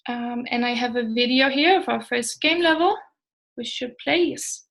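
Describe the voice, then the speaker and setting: Danish woman w danish accent, native danish, highish voice, startup founder doing an informal slide talk, bit hesitant w pauses. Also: gravelly, a bit bright. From Denmark. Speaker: startup co-founder, guest presenter.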